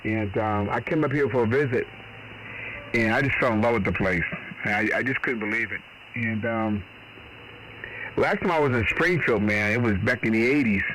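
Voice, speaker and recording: A man's voice: fairly low pitch, moderate pace, long pauses, a bit bright, slight background noise, poor audio. A middle-aged man, chatting on amateur radio in a folksy, rambling, mildly salty way. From Boston. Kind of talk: casual radio chat.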